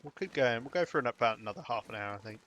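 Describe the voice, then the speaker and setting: A man's voice: mid-range voice, expressive, racing, a bit bright. A young British man chatting casually while streaming a game, rambling and chuckling between remarks. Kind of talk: live gaming commentary.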